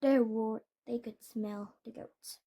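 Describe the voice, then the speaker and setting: A woman reading a children's storybook aloud in a deliberate way, with occasional stumbles. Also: on the high side, steady tone, warm tone. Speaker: storybook reader.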